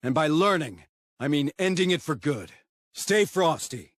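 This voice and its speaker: An actor playing a gruff, stubborn commander of space marines in a video game, giving clipped, commanding briefings with dry sarcasm. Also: male voice, fairly high pitch, expressive, unhurried, a bit bright, no room sound. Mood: commanding, focused. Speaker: military commander. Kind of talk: video game cutscene acting and mission briefing.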